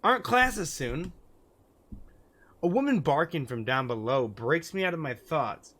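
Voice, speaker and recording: A man's voice: medium pitch, lively intonation, moderate pace. A man doing let's play commentary and reading game text aloud, sometimes stumbling over the words. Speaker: gaming YouTuber. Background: background music.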